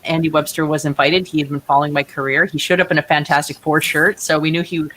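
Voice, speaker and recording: Female interview guest, playwright. Low register, effusive and halting delivery, some noise behind her. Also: level tone, a bit bright, rough recording. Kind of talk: animated interview conversation.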